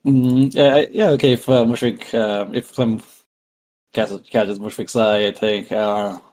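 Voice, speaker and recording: A man's voice: on the low side, fairly even intonation, measured pace, darkish tone. A man casually improvising narration as a tabletop game player, hesitant and thinking aloud.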